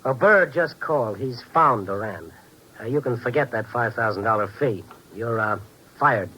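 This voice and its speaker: Hardboiled noir narrator, medium pitch. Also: male voice, expressive, moderate pace, pushed and bright, slight background noise, rough recording. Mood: urgent. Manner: hardboiled, punchy, wry. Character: earnest, inquisitive hardboiled male reporter-narrator. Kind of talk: 1950s radio noir narration and acting. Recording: vintage 1950s radio with music.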